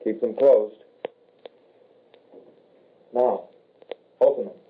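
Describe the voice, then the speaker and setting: An actor playing a grave, regretful young soldier turned werewolf in a halting, somber 1940s radio horror style. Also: male voice, medium pitch. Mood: grave, guarded. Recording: noisy, tinny old-time radio recording.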